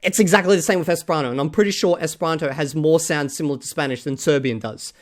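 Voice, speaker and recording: Man, reaction host, skeptical and emphatic, casual rant with loud bursts. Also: quick pace. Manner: skeptical, emphatic, swings between loud outbursts and low mutters.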